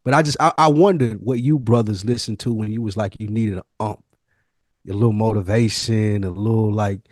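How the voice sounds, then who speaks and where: Podcast cohost guy, lowish voice, slangy casual chat, super dry sound. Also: a little fast, no echo. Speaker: podcast co-host.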